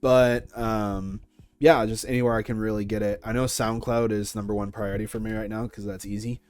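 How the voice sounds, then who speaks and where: A man's voice: on the low side, flat delivery, a little fast, a little mellow, smooth, no room sound. A young man being interviewed, chatting casually in a laid-back, informal way. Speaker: interviewee, audio series creator. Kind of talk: casual chat. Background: faint music.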